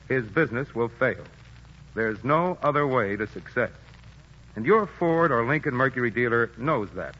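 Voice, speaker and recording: A man reading a scripted sponsor's commercial on a 1940s radio broadcast, earnest and measured. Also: mid-range voice, pushed and bright, husky, highs cut off. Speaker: sponsor's spokesman.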